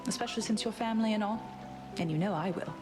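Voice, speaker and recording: A confident, wry young huntress goddess from a fantasy game, voiced by a woman. She talks at medium pitch in an informal, dry, sisterly way. Music is clearly audible behind. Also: quick pace, lightly acted. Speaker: actor voicing a game character.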